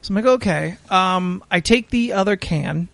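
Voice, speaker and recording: An American man hosting a podcast, telling a story casually in a halting, sweary way. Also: high voice, vocal fry, fairly bright tone, dry recording. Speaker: podcast host.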